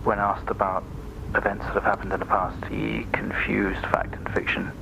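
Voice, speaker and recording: An actor playing a measured, subdued male therapist in a video game, dictating session notes in a creaky, breathy murmur over noise. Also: fairly low pitch, level tone. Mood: subdued, clinical. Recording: noisy game audio recording.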